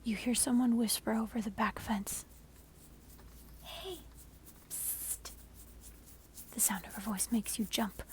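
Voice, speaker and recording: A woman telling a scary story in a breathy, unvoiced ASMR whisper, close to the microphone. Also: a little high, fairly even intonation, fairly quick, hardly any pauses. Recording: soft noise, mic scratching. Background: scratching and layered sounds.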